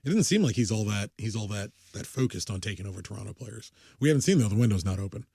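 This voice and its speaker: A man's voice: on the low side, expressive, quick pace, vocal fry, warm tone, husky, no room sound, studio quality. A man in his thirties co-hosting a casual sports podcast, rambling and self-interrupting, swearing casually. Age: 30s. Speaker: podcast co-host.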